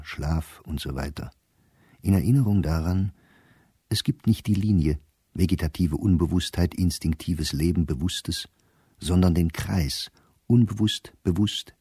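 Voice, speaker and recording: Radio play diarist: educated, bitter, reflective middle-aged man; dark tone, very dry close studio sound. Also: low voice, slightly rough, no echo. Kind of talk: radio play, literary diary reading.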